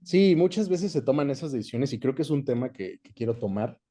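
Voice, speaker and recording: A man's voice: medium pitch, expressive, a little fast, mellow. A man with a Mexican accent chatting casually as an interview guest, recounting his experience. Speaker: guest, dubbing actor and director.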